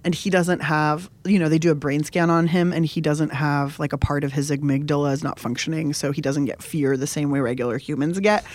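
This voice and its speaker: A woman's voice: on the low side, dry recording. A young adult woman from San Diego, a cook and show host, talking casually in an interview, chatty and often laughing.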